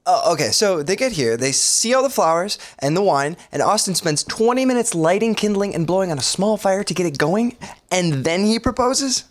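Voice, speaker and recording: A man's voice: high voice, sing-song, moderate pace. An actor playing a wry, skeptical young man in a podcast sitcom.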